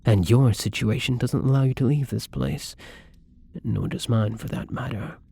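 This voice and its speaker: A lonely vampire acted out by a man speaks in a very breathy, husky voice with a gravelly creak. His delivery is soft, halting and wistful, pitched as intimate, tender ASMR roleplay. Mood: sad, resigned. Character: lonely, gentle, wistful male vampire captive in castle.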